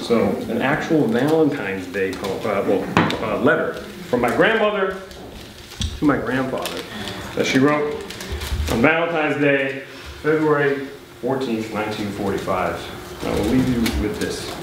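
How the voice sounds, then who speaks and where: A man's voice: mid-range voice, expressive, a little slow, very noisy. A man reading an old letter aloud to a live audience at a poetry night, breaking off now and then for casual, chatty asides. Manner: reads with offhand chatty asides. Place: live poetry event in a beach house venue. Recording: very echoey room with faint ambience.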